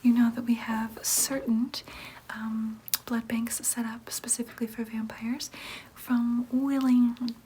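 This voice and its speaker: Woman acting as female vampire clinic nurse, ASMR roleplay, soft breathy whisper, slightly high voice, soothing, reassuring, careful explanations, noisy background. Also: lightly acted. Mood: helpful, businesslike.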